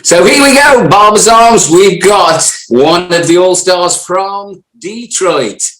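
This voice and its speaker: A man's voice: sits high, expressive, slow pace, a bit bright, reverberant, poor audio. British man hosting a casual chat show. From United Kingdom. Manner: jokey, teasing banter. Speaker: podcast host.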